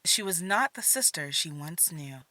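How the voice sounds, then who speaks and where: A woman's voice: deep voice, expressive, normal speed, pushed and bright, dry recording. A woman narrating a scripted audio drama as its storyteller.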